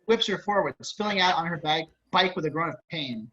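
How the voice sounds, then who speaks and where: A man's voice: on the high side, expressive, fairly quick, bright, forceful tone. A man reading scripted action narration and stage directions over a video call. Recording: dull video-call audio.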